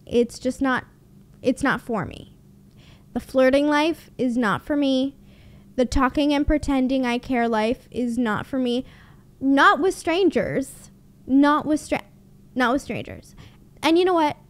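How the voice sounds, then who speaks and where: A young woman with a high voice talks close into a studio microphone in a small room at home. She tells a story as a podcast host, casual and blunt, with dry sarcasm and some swearing. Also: measured pace. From Canada. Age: young adult. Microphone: studio mic on boom arm, close.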